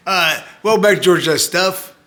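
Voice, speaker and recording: Man, middle-aged, knife review host, rambling, self-deprecating, bright tense voice, close camera mic. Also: sits high, normal speed. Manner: self-mocking, jokey, rambling with many fillers.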